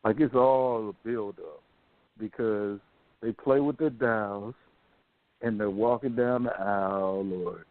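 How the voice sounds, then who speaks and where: A man's voice: on the low side, steady tone, slow pace, dry recording. A man hosting a radio talk show in casual, colloquial, opinionated chat, telling things like stories. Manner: colloquial, storytelling. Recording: thin phone-like band-limited sound.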